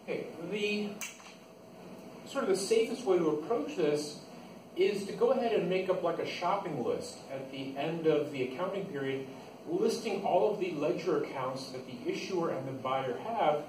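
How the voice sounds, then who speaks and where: A man's voice: a little high, lively intonation, moderate pace. A man giving an informal classroom lecture as an instructor, explaining step by step and restating key points. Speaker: accounting instructor. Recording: echoey, noisy room, distant microphone.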